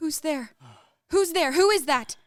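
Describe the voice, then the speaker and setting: Woman as high-voiced, proud romantic young lady. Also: animated, unhurried, no room sound, studio quality. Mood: frightened, defiant. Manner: theatrical, lofty. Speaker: actor in a student radio play. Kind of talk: radio play acting.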